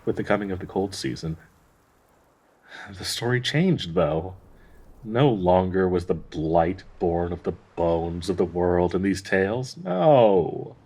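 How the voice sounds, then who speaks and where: A man acts out a tender male dryad in a fantasy audio roleplay, grieving and devoted. The voice is fairly low and quite husky, with a lot of breath in it. He speaks slowly and haltingly, wistful and in need of reassurance. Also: animated, darkish tone, exaggerated voice. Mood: troubled, sorrowful. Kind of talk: intimate dramatic audio roleplay monologue.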